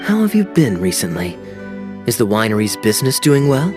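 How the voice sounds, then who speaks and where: A man's voice: lowish voice, very animated, fairly quick. An actor voicing a suave young male knight in a game cutscene, easygoing, wry and charming. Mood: caring. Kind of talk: video game cutscene dialogue. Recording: game audio with background music.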